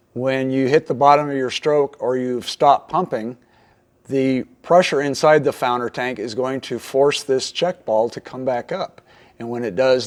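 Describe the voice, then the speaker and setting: A man's voice: mid-range voice, darkish tone. Plainspoken man giving repair instructions. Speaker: instructor, repair video host. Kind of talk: hands-on tutorial explanation.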